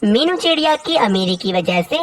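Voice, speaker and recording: A woman's voice: medium pitch, lively intonation, normal speed, slight background noise. A woman narrating a scripted children's story, recorded at a distance from the mic.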